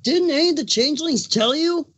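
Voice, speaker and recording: Moody pregnant changeling creature, played by a man: high-pitched, irritable, sarcastic cartoon diva with a huge appetite. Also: fairly quick, a bit bright. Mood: indignant, defensive. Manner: snappish, touchy, sarcastic. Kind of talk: cartoon fan fiction dramatic reading.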